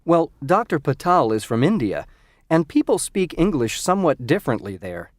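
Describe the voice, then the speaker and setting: An actor playing a friendly young male university student in a scripted textbook dialogue, speaking clearly. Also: on the high side, normal speed, no echo, clean studio sound. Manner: lively, clearly enunciated. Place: recording studio.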